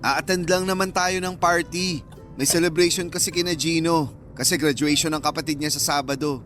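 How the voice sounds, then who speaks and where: A cocky, protective young Filipino man, played by an actor in a radio romance drama. He banters in a playful, boastful, teasing way over soft background music. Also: a little high, steady tone, normal speed, lightly acted. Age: young adult.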